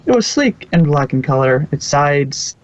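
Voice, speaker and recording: A man's voice: on the high side, sing-song, unhurried. Dull-sounding man narrating a story. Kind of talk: amateur storybook narration of fan fiction. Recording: dull, lo-fi home microphone.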